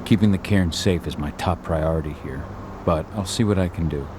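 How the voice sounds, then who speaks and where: An actor playing a gruff, stoic, guarded werewolf eco-warrior in a video game cutscene. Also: male voice, deep voice, fairly quick, background noise, close to an everyday voice. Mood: determined.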